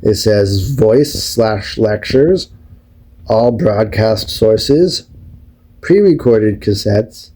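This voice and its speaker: A man talking casually as a video host, explaining things step by step in a rambling, unhurried way. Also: lowish voice, animated, dark tone, rough recording. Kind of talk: casual explanatory vlog talk. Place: indoors at home, behind the camera.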